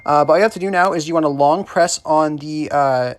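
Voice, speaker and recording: A man's voice: on the high side, brisk. A man hosting a tutorial, narrating instructions in a casual style. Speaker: tutorial host.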